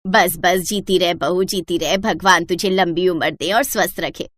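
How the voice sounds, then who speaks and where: A woman's voice: lowish voice, fairly even intonation, moderate pace, a bit bright, no echo, voice pushed down. A woman voicing an older mother-in-law in a moral story, lecturing and emphatic as she praises or scolds. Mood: gushing approval. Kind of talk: acted moral-story dialogue.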